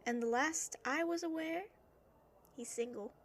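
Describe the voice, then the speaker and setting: An actress playing a teasing, meddling workmate and friend in an audio drama. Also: female voice, high voice, a little slow.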